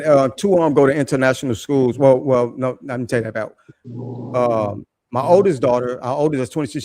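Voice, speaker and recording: A middle-aged man with a naturally deep, raspy voice, chatting casually as a guest, laid-back and slangy with repeated phrases. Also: background noise. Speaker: guest living abroad. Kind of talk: casual chat.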